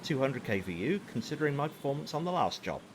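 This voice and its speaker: A man acts out a cocky male assassin in a sci-fi audio drama. He taunts and mocks with wide swings of pitch. The voice sits mid-range over faint background noise. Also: sing-song, fast talker, lightly acted. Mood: smug. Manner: sarcastic, taunting. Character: cocky, callous male hitman for hire.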